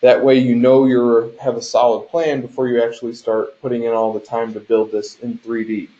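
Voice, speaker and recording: Male instructor, mid-pitched and mellow, explanatory delivery with frequent check-ins, muffled conference-call sound. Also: little pitch movement, moderate pace. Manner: explanatory, often checks in with "right?". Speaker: game design instructor. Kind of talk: instructional lecture over a shared screen. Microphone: conference call microphone. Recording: dull, lo-fi conference call audio.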